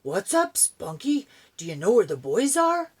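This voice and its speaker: Woman as a brisk, concerned storybook man. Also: medium pitch, sing-song, husky. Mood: concerned, questioning.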